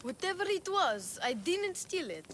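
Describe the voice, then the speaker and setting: A woman's voice: extremely high pitch, a little slow, a little hiss or hum, heavily acted. A woman voicing an eager young boy who keeps interrupting in a game cutscene. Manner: excitable, interrupting. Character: eager young boy searching for his father. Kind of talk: video game cutscene acting.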